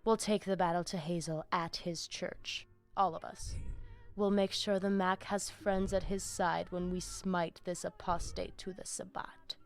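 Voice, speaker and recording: Scheming vampire priestess in a game, low voice, preachy n grand, faint music behind. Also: female voice, steady tone, unhurried. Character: cunning, imperious vampire priestess of a sect, plotting crusade. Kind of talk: dramatic video game voice acting.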